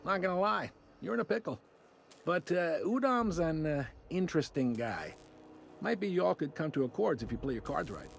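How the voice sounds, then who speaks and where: Droll, high-pitched, swooping folksy customs man. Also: big pitch swings, normal speed, gravelly, soft background music. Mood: wry, confiding. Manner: drawling, droll, casually apologetic. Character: wry, folksy male space-station customs officer. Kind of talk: video game character acting.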